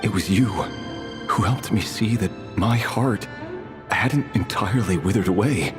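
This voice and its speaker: A man voicing a reflective, dryly sardonic knight in a video game, speaking in a measured way. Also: on the low side, animated. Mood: solemn, tender. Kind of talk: dramatic game voice acting, reading journals and dialogue. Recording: music under the voice. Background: music.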